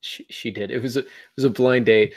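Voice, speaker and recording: A man's voice: medium pitch, fairly even intonation, a little fast. A man hosting a podcast in casual chat, with a wry, anecdotal way of talking. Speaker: podcast host.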